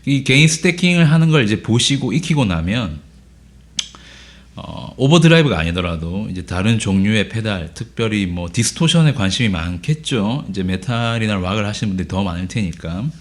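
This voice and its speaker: A man with a hoarse voice from a cold, casually explaining guitar pedals in a relaxed way, with frequent filler sounds. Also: fairly low pitch, moderate pace. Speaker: guitar teacher. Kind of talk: casual gear demo lesson.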